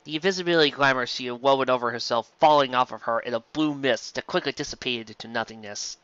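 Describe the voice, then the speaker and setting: A man reading a story aloud as narrator, in an expressive scripted style. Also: medium pitch, quick pace, smooth, no echo, muffled recording. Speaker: narrator, fan fiction reader.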